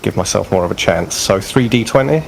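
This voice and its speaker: A man's voice: low-pitched, expressive, a little fast, gravelly, a little hiss or hum, rough recording. A man taking part as a player in a tabletop game, thinking aloud and asking questions. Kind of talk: casual tabletop play.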